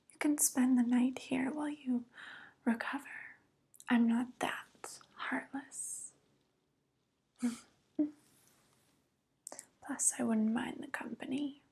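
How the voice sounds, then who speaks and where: Slow, whispering evil witch, played by a woman. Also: fairly high pitch, full character voice. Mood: pleased, sly. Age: young adult. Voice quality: breathy, whispery. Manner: slow, cooing, gently condescending. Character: evil youth-stealing witch, sly, vain, falsely tender. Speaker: ASMR performer. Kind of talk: soft-spoken ASMR roleplay. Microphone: close microphone.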